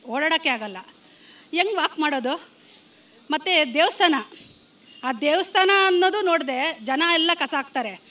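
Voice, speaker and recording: High, bright-voiced complaining woman. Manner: complaining, insistent. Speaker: local resident giving opinion. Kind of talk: street vox pop complaint.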